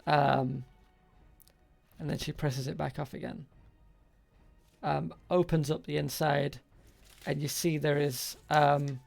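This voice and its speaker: A man narrating an improvised tabletop game as game master, halting and pausing between short phrases. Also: a little high, flat delivery, vocal fry, soft background music.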